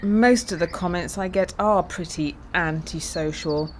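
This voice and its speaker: A woman's voice: on the low side, measured pace, a little hiss or hum, close to an everyday voice. A woman playing an anxious but wry geology professor in an amateur audio play, dry and a little formal. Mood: dry, uneasy.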